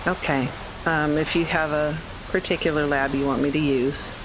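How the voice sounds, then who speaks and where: A woman's voice: sits low, steady tone, normal speed, background noise. A woman playing a tabletop game, speaking politely and briefly. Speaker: player.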